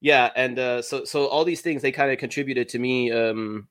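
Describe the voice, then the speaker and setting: A man from Germany, a YouTuber guest, talking casually in a remote interview, halting with many restarts and fillers. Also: mid-range voice, fairly even intonation, over a noisy crowd.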